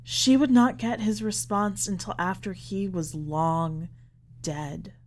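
A woman reading literary fiction aloud as scripted narration, with measured, deliberate phrasing. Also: medium pitch, steady tone, a little mellow. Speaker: podcast host reading a short story.

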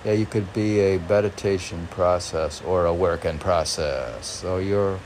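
A man reading poetry and commenting on it informally in a halting, musing, self-questioning manner. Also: sits low, a little mellow, slight background noise. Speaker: poet reading and commenting on own poems.

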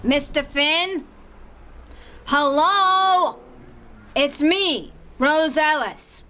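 Sweet nosy old lady neighbor, high voice, unhurried, chatty radio drama. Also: pushed and bright, exaggerated voice. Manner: polite, fussy, chatty. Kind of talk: audio drama acting.

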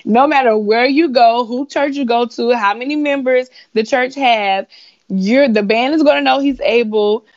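A woman in her twenties, a singer being interviewed, chatting casually in a giggly, animated storytelling way. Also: fairly high pitch, moderate pace, dull audio. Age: 20s. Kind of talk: casual chat.

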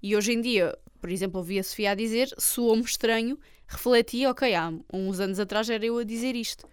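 Young female podcast co-host, Algarve Portuguese accent, informal storyteller, smooth high voice, dry close sound. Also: brisk, no echo. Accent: European Portuguese, Algarve. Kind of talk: casual chat.

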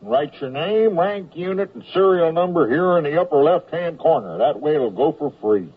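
An actor playing a blunt, laconic army post office clerk in a radio comedy. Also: male voice, fairly high pitch, animated, normal speed, breathy edge. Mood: offhand. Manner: laconic, matter-of-fact. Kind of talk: 1970s radio comedy acting. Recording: tinny, phone-like radio recording.

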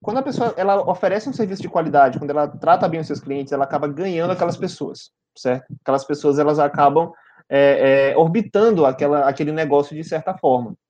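A man's voice: on the high side, fast talker, darkish tone, highs cut off. A man with a Brazilian Portuguese accent hosting a podcast in an informal, chatty way, checking in with frequent tag questions. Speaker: podcast host. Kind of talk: casual conversational podcast hosting.

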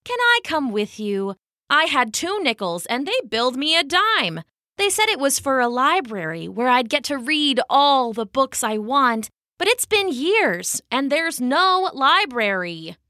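A sly, hungry yet companionable storybook fox , as a woman puts it on. The delivery drawls and barks with lively, swooping pitch. The sound is bright, close and dry. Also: unhurried, no room sound, exaggerated voice. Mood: aggrieved. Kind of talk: children's storybook character voice.

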